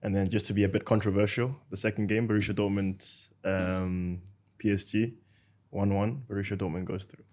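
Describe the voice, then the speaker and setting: A man on a panel, speaking in a calm, measured way. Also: fairly low pitch. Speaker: panel guest.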